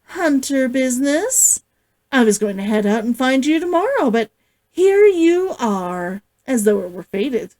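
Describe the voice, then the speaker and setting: Woman acting a flirty huntress, dismissive, teasing, theatrical, higher-pitched, very lively intonation, storybook character. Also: unhurried. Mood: coy. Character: flirtatious, dismissive, swaggering adult woman monster hunter.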